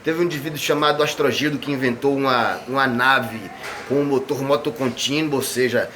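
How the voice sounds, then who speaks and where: A man's voice: mid-range voice, fairly even intonation, a little fast, fairly bright tone, a little hiss or hum. A man from Bahia, an artist talking casually and at length in an interview, wandering through his thoughts with many tag questions. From Bahia, Brazil. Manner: discursive, meandering, frequent tag questions.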